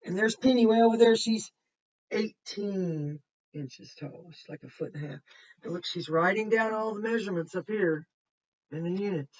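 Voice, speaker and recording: A woman, heard through a muffled microphone some way off. She reads a picture book to young children as their teacher, in a mid-pitched voice. She breaks off to point things out, repeat them simply and ask questions. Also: normal speed, a little mellow, recorded from a distance. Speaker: kindergarten teacher. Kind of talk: reading a picture book aloud to children with explanatory asides.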